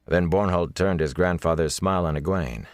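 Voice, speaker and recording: A man's voice: deep voice, normal speed, no echo, clean studio sound. A man reading fantasy fiction as a scripted, dramatic audiobook narration.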